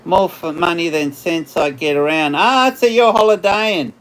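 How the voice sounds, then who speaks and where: Man, Australian accent, slightly hoarse, unhurried, rambling livestream chat. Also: fairly high pitch, a bit bright. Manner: rambling, chatty, laughs often. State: tired voice after hours of talking. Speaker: livestream host. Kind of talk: casual live chat with viewers.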